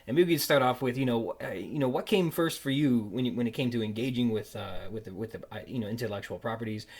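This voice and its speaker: A man with an average-pitched voice hosts a casual interview podcast. He talks loosely and searches for his phrasing as he puts questions to his guest. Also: quick pace, darkish tone, breathy edge.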